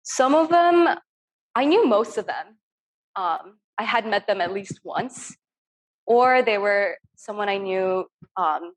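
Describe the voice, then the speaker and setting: A woman's voice: medium pitch, rough recording. A young woman from Turkey, an artist, talking casually and earnestly about her work with frequent ums over a video call. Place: home room on a video call.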